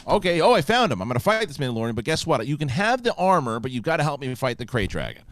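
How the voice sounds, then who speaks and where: Podcast host in his thirties, animated and chatty, recorded dry. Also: mid-range voice, fast talker, no echo. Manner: animated, talks with many restarts. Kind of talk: casual chat.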